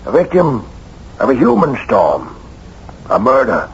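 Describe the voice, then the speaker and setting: An actor playing a shrewd, steady American small-town sheriff in a radio mystery, folksy and deliberate. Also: male voice, mid-range voice. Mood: dry, probing. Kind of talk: radio mystery drama acting. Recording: dull, noisy old radio broadcast.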